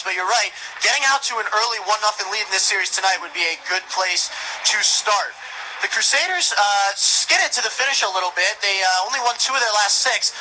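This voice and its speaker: A man's voice: high voice, bright, forceful tone, a little hiss or hum, rough recording. A man doing emphatic play-by-play ice hockey commentary for a radio broadcast from the rink. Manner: rapid-fire, emphatic calling of action.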